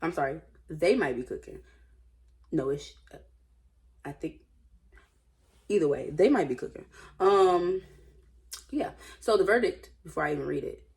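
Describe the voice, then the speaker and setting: Low-voiced woman, casual and slangy. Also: lively intonation, a little slow, vocal fry, pauses often, darkish tone. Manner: informal, slangy, opinionated, musing aloud. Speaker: host reading and commenting on online stories. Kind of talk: casual reading aloud with chatty commentary.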